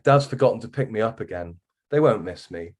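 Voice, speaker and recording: Storybook schoolboy, earnest and bossy, played by a low-voiced man, lifted above his narration. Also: expressive, brisk, close to an everyday voice. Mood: earnest, urgent.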